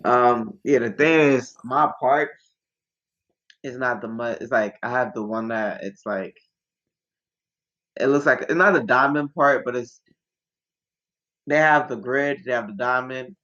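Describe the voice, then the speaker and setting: A man's voice: mid-range voice, unhurried, long pauses, bright, forceful tone, lo-fi sound. A young man from Ghana, a musician guest on a podcast, talking in a laid-back, slangy, rambling way. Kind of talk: casual interview chat.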